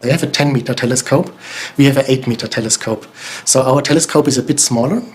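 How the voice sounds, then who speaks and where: A man giving an interview explanation as a scientist, pausing hesitantly between short phrases. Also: mid-range voice, a little mellow. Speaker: astronomer, research team leader.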